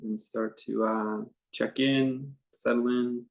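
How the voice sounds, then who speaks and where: A man leading a guided meditation as a teacher, speaking in an unscripted, conversational way with gentle, halting phrases and long pauses. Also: mid-range voice, level tone, vocal fry, rough recording.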